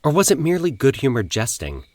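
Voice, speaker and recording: A man's voice: medium pitch, huge pitch swings, fast talker, darkish tone. A man narrating a scripted first-person horror story in a formal, dramatic manner.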